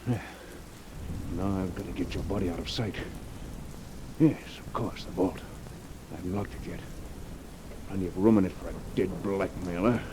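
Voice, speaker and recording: An actor playing an arrogant, scheming tycoon and killer in an old radio drama, domineering and theatrical. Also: male voice, low voice, normal speed, long pauses, close to an everyday voice. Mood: cold gloating. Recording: noisy old radio recording, rain and thunder.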